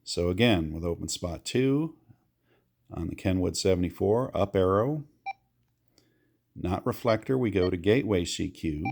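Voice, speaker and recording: A man's voice: deep voice, animated, a little slow, vocal fry, long pauses, darkish tone. An American man giving a casual how-to walkthrough of amateur radio gear, explaining with repeated reminders.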